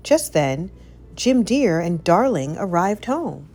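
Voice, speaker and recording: Expressive woman storyteller with animated pitch. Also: slow. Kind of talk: expressive children's read-aloud narration.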